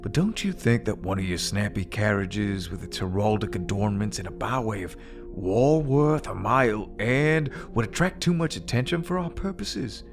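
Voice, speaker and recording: An actor playing an easygoing, practical young adventurer in an audio drama, folksy and frank. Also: male voice, fairly low pitch, lively intonation, normal speed, over music.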